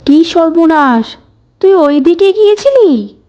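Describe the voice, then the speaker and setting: A woman's voice: extremely high pitch, animated, takes it slowly, lo-fi sound, exaggerated voice. A woman playing a worried, protective village aunt in a cartoon story, with anxious, scolding concern. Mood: alarmed concern. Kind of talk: dramatized cartoon dialogue.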